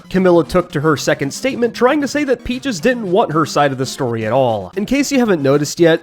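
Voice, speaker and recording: A man hosting a scripted commentary video in a blunt, critical manner. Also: fairly high pitch.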